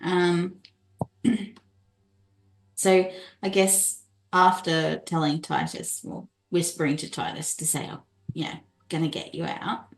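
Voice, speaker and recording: A woman's voice: lowish voice, steady tone, slow, low-quality recording. A woman chatting as a player in a tabletop game, thinking aloud hesitantly. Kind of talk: casual game chat.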